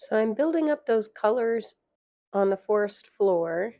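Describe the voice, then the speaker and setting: A woman narrating a watercolor painting tutorial, hoarse and losing her voice, soft-spoken and chatty. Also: medium pitch, animated, measured pace, dull audio, faint music behind. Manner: soft-spoken, chatty, with fillers and light laughs. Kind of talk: relaxed voice-over tutorial.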